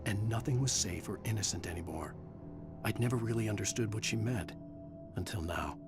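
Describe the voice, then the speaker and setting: A man's voice: on the low side, brisk, close to an everyday voice. A man playing a haunted, desperate writer in a video game, grave and intense. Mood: brooding narration. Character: haunted, desperate male writer searching for his wife. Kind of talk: video game acting and scripted narration. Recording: music behind the voice.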